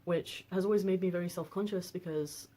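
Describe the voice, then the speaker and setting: A woman in her twenties with a deep voice, talking casually on a personal vlog with lots of fillers and restarts. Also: steady tone, fairly quick, dark tone. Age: 20s. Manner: rambling, frequent fillers and restarts. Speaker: vlogger.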